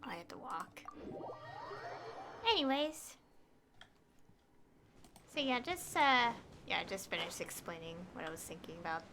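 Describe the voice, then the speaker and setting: A woman's voice: mid-range voice, sing-song, a little slow, pauses often, background noise. A woman doing casual live game commentary, rambling and thinking aloud as she plays. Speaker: game streamer. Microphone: headset. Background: game music.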